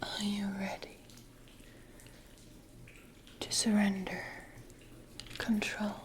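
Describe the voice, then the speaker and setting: A woman playing a predatory, commanding siren in an ASMR roleplay, whispering breathily with slow, deliberate, menacing calm. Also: mid-range voice. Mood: coaxing, sinister. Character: predatory, commanding female siren possessing her victim.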